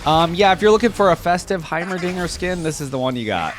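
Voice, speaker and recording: A man's voice: sits high, expressive, quick pace, soft background music. A man giving casual reaction commentary as a video creator looking over game content.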